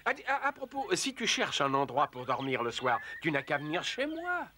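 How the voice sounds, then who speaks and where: A man voicing a wise, fussy panther mentor in an animated cartoon, with an articulate, lecturing manner. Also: a little high, normal speed, full character voice. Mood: earnest. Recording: faint background noise.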